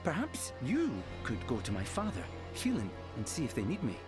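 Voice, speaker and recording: A man's voice: mid-range voice, huge pitch swings, normal speed. A man playing a timid, excuse-making villager in a fantasy video game, hesitant and wheedling. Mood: plaintive, evasive. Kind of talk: dramatic video game acting. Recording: music behind.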